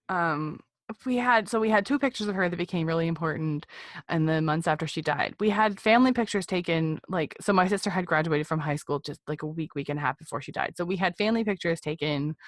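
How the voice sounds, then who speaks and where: A woman's voice: fairly low pitch, brisk, dry recording, studio quality. A woman in her forties speaking candidly as a podcast guest, in a reflective, rambling, conversational way. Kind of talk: candid personal interview conversation.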